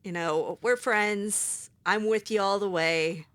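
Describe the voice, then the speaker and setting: A woman's voice: medium pitch, takes it slowly, a bit bright. A woman voicing her own character while playing a video game, sarcastic and hesitant, with many fillers. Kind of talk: in-character roleplay voiced over gameplay.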